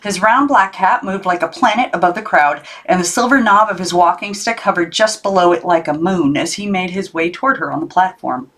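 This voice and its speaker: A woman reading a children's novel aloud as narrator, in an expressive storytelling style. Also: on the low side, brisk, few pauses. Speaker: audiobook narrator.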